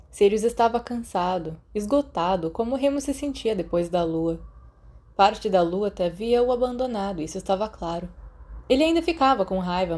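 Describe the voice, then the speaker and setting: Female narrator, Brazilian accent, dark mellow tone, mid pitch, scripted storybook reading. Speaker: audiobook narrator. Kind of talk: scripted fan fiction narration.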